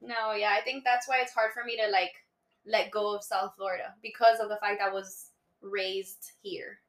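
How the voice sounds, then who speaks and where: A woman's voice: mid-range voice, flat delivery, moderate pace, fairly bright tone, roomy sound. A woman in her twenties, born in Colombia and raised in Miami, chatting easily as a podcast guest and laughing often. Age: 20s. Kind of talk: casual chat.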